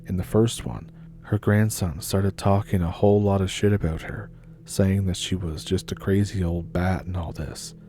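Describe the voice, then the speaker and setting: A man reading submitted scary stories as a storytelling host, in a measured, unhurried scripted narration. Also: low voice, steady tone, dark tone, slight background noise.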